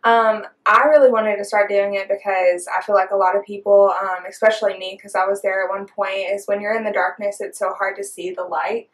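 A woman's voice: mid-range voice, little pitch movement, brisk, hardly any pauses, far from the mic, poor audio. A woman in her twenties co-hosting a casual faith podcast, speaking in a heartfelt, chatty way. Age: 20s. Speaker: podcast co-host.

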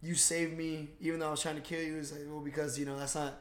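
Young guy, higher-pitched, echoey room. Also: quick pace. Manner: slangy, swearing, excitable storytelling. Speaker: podcast host. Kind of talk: casual movie recap chat. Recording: roomy, echoing room sound.

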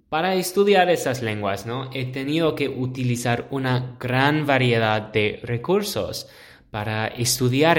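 Slow, hesitant young man teaching. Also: mid-range voice. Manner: slow, hesitant, pauses to search for words. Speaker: podcast host. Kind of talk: solo podcast explaining to learners.

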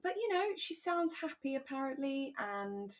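A woman with a British accent co-hosting a relaxed recap chat, retelling things in an expressive, conversational way. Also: sits high, moderate pace. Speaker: podcast co-host.

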